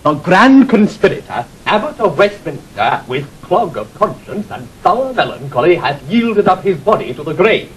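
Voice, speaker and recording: High, animated young lord. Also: male voice, moderate pace, few pauses. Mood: proud, formal. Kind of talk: classical Shakespearean radio acting. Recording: faintly noisy, rumbling 1960 recording.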